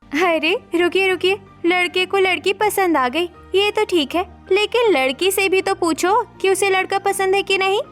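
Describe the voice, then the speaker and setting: A woman voicing a cheeky, playful teenage younger sister in an animated story. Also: very high voice, measured pace, soft background music, pitched above the performer's own voice. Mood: insistent. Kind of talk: Hindi animated story character voice.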